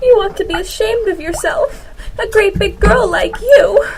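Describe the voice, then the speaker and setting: A woman's voice: very high-pitched, moderate pace, slight background noise, rough recording. A young female performer playing a curious, polite little girl in an amateur radio play, with an exclamatory delivery. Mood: scolding.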